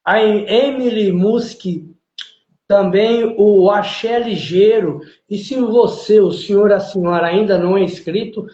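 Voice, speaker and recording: A man's voice: high-pitched, unhurried, dark tone. A man hosting a podcast, introducing guests formally and with emphasis. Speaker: podcast host. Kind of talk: live podcast interview. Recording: roomy, dull lo-fi sound.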